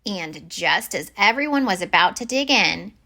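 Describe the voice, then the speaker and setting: Bright, animated woman storyteller. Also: mid-range voice, normal speed, gravelly. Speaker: story-time reader. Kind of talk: expressive children's picture-book narration.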